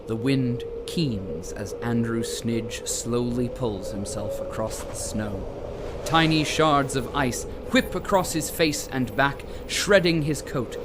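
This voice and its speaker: Slow, grave male drama narrator. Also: mid-range voice. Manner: measured, grave storytelling. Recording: noisy ambient sound bed behind.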